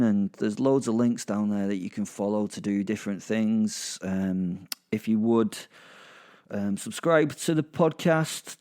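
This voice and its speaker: A man telling first-person dark comedy horror stories in a sardonic, conversational, sweary manner as a petty werewolf. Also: lowish voice. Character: petty, sardonic werewolf narrating his own misdeeds. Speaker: podcast host and storyteller narrating in character.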